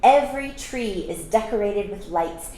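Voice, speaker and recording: A woman reads a storybook aloud for children with expressive delivery and explanatory asides. Her voice sits at a middle pitch. The room is echoey, with faint noise behind her. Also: a little slow. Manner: expressive, explains to young listeners. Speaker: storytime reader.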